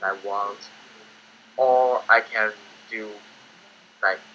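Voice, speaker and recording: A teenage boy from China, speaking his second language, who gives hesitant interview answers in simple, halting English. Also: fairly low pitch, steady tone, sharp tone, highs cut off. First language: Chinese. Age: teens. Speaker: student applicant.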